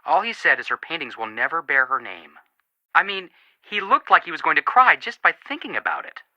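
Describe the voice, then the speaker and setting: An actor playing an earnest, sympathetic young amateur detective in scripted video game dialogue. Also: male voice, a little high, sing-song, brisk, sharp tone, dry recording, lo-fi sound, heavily acted. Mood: animated, sympathetic.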